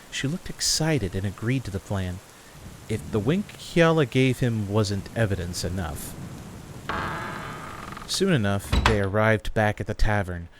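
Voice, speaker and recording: A man's voice: fairly low pitch, a little hiss or hum, rough recording. A man reading scripted story narration as the narrator of a fantasy audio drama.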